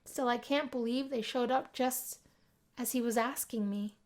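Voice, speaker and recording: A woman reading first-person visual novel narration aloud as an expressive storyteller. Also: on the high side, moderate pace. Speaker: gaming video host, narrator.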